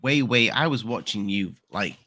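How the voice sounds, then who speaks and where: A man's voice: on the low side, expressive, normal speed, bright, forceful tone. A man hosting an interview, talking informally with some slang. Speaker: host. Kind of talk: casual interview chat.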